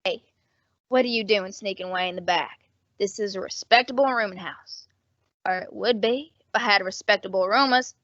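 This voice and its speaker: A woman's voice: medium pitch, normal speed, fairly bright tone, dry recording, natural voice. A woman playing a sly, brash, grasping rooming-house landlady with slangy talk in an old-time radio drama. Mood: indignant. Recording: dull, dry lo-fi audio.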